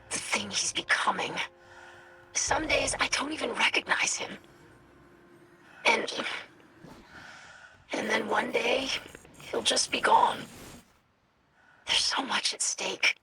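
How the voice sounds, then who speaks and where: A woman's voice: on the high side, takes it slowly, long pauses, sharp tone, in a whisper, faint music behind, exaggerated voice. An actress playing an earnest young female alien scholar in a video game, with careful, formal phrasing. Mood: anguished, worried. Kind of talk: video game character dialogue.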